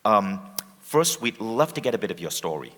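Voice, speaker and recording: A man's voice: on the low side, very animated, normal speed, fairly bright tone. A man hosting on stage, introducing and interviewing a guest with eager enthusiasm. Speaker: event host and interviewer. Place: large event hall on stage. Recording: long hall reverb.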